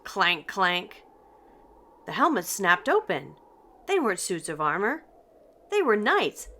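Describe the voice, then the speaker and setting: An older woman reading a children's picture book aloud in an expressive, deliberate storytelling manner. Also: medium pitch. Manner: slow, deliberate, animated delivery for children. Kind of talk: expressive scripted read-aloud narration.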